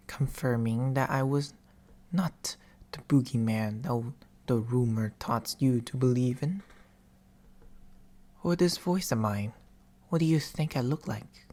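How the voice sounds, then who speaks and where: Man voicing a slow, whispery sleep demon. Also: medium pitch, darkish tone, close to an everyday voice. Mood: gentle, coaxing. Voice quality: breathy, often dropping into whisper. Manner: slow, deliberate, theatrical, intimate. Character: soft-spoken male sleep paralysis demon, teasing storyteller. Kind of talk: ASMR roleplay, scripted. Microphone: close binaural microphone.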